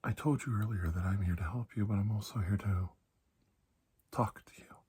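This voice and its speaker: A man with a low, mellow voice that is heavily husky and breathy. He plays a reassuring doctor in a soft-spoken ASMR roleplay, often sinking close to a whisper. His delivery is slow and calming, with deliberate pauses. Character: calm, reassuring older military doctor treating alien infection. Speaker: ASMR performer playing a doctor.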